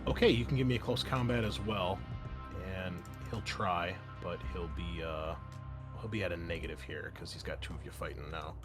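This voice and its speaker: A man's voice: sits low, moderate pace. Man running a tabletop game over music. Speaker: game master. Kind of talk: narrating a tabletop roleplaying game. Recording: music playing behind the voice. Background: background music.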